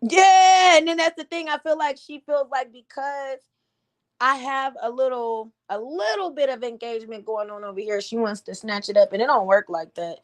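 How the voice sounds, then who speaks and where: A woman with a slightly raspy, somewhat high voice hosts a casual livestream chat. She talks bluntly and with animation, and she often laughs and breaks in with quick interjections. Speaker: livestream host.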